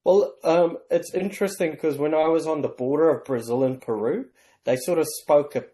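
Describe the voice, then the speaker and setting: Mellow-voiced man. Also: fairly high pitch, poor audio. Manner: measured, storytelling, light self-deprecating humor. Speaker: interview guest. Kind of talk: conversational interview.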